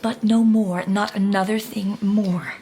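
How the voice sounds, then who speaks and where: An actress playing a proud, stubborn and impoverished older sister in a radio drama, with a wistful, breathy delivery. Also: female voice, medium pitch, fairly even intonation, measured pace, mellow, close to an everyday voice, rough recording. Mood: proud, wounded.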